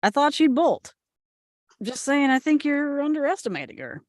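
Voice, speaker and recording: A woman's voice: high-pitched, big pitch swings, normal speed, no room sound. A woman playing casual, supportive young men in a drama script table read. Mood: frank, casual.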